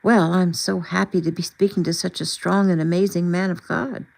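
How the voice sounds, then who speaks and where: A woman's voice: fairly low pitch, flat delivery, moderate pace, gravelly, darkish tone. Woman reading as an effusive, earnest lady doctor. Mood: reverent, encouraging.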